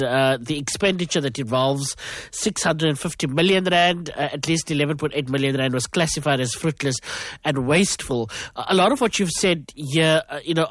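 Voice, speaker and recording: A South African radio host interviewing a guest, with halting, filler-heavy questions. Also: male voice, fairly high pitch, no echo.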